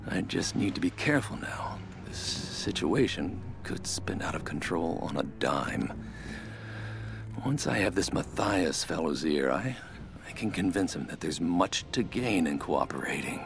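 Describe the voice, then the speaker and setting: A scheming, self-important middle-aged TV archaeologist in a video game. His low voice creaks and swings widely as he justifies himself theatrically. Music plays behind him. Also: sing-song, measured pace, full character voice. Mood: excited, greedy. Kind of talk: dramatic video game audio journal.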